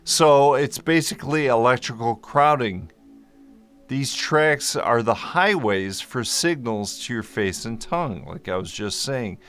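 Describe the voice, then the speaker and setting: A man's voice: mid-range voice, lively intonation, measured pace, fairly bright tone, faint music behind. A man vlogging to camera in a casual, easygoing way, explaining a topic and correcting himself as he goes, speaking into a microphone. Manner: easygoing, self-correcting, joking aside. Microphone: microphone in front of him.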